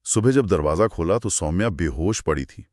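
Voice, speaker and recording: A man's voice: low-pitched, animated, normal speed, a little mellow, no echo, clean studio sound. A man hosting a conversational horror storytelling show, narrating in dramatic, short suspenseful phrases. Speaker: host narrating horror stories.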